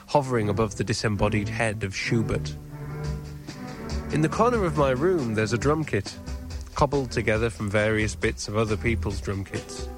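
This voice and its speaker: An English man in his thirties from northern England narrates his own memoir in a measured, literary storytelling way. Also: on the low side. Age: 30s. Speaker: author narrating his autobiographical audio piece. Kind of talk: scripted autobiographical narration. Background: music.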